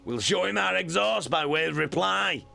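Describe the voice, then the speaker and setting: Guy doing a dry sarcastic ship captain, a bit higher than his normal read. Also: normal speed, gravelly, sharp tone, faint music behind, heavily acted. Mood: wry. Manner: wry, sardonic. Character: dry, sardonic male shipmaster.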